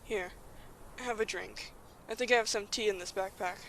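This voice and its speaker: A woman's voice: mid-range voice, normal speed, a bit bright, a little hiss or hum, natural voice, low-quality recording. A woman playing a kind, caring human child who leads and encourages friends in an audio drama. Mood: concerned, caring. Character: kind, reassuring human child leader, androgynous.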